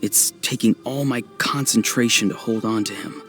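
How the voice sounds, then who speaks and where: A man playing an earnest young man in a video game, pleading and soft-spoken. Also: lowish voice, normal speed, soft background music, lightly acted. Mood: strained, pleading.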